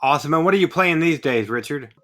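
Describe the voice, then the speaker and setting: Bright-voiced man hosting a casual podcast. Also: fairly high pitch, lively intonation, quick pace. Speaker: podcast host. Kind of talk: casual interview chat.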